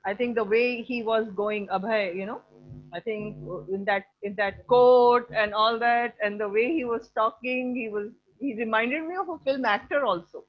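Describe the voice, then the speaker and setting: A woman's voice: a little high, normal speed. A woman with an Indian accent teaching an online class, giving effusive feedback and often repeating her phrases. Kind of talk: enthusiastic spoken feedback to a class. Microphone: video call. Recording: dull lo-fi video call audio.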